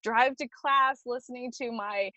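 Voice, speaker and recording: A woman's voice: fairly high pitch, a little slow, sharp tone, dry recording. A woman guest on a podcast, reminiscing hesitantly and searching for words. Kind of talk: casual interview reminiscing.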